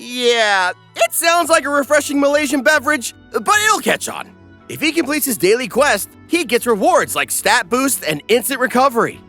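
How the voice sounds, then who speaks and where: A man narrates a comedic recap from a script. His delivery is wisecracking and animated, with a bright, forceful tone and lively swings in pitch over faint music. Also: normal speed. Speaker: narrator, host.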